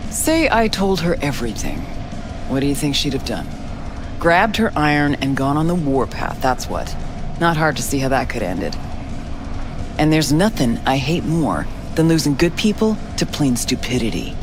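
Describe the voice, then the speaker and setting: A woman's voice: low-pitched, big pitch swings, normal speed. Casting: hard-nosed veteran female fixer, cool and commanding, swinging intonation, music behind, cyberpunk game. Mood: shrewd, commanding. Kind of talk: video game cutscene acting.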